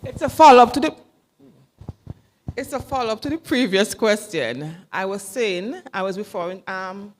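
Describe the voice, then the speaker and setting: A woman in the audience asking a follow-up question at a symposium, halting with frequent fillers. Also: medium pitch, expressive. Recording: long hall reverb.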